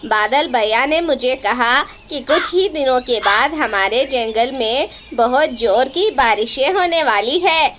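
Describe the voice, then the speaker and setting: A woman voicing a responsible, concerned male parrot in a cartoon story, earnest and deliberate. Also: high-pitched, lively intonation, fairly bright tone, a little hiss or hum, voice pushed down.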